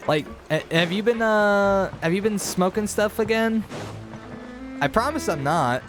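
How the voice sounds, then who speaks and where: A man's voice: high voice, lively intonation, measured pace. A man doing casual, rambling, self-deprecating reaction commentary as a game streamer. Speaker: game streamer, let's-play host. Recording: game music playing behind.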